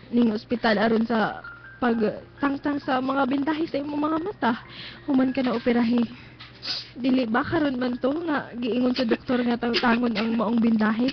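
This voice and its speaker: A woman's voice: high-pitched, natural voice. A woman with a Cebuano accent playing a dutiful, consoling younger sister in a radio drama, deferential and reassuring. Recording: dull lo-fi radio stream, faint noise.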